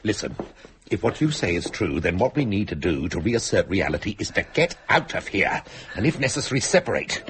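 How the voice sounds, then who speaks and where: A man's voice: sits low, very animated, fairly quick, noisy background. An actor playing a level-headed, wry man taking charge in a radio horror play, decisive and articulate. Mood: reasoning, firm.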